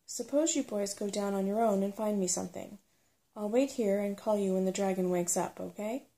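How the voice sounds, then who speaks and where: Woman reading as a bossy, creaky-voiced little brownie. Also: medium pitch, mellow, close to an everyday voice. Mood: stubborn. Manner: brisk, sceptical, blunt. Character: bossy, impatient female brownie with paws and claws. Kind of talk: storybook character reading.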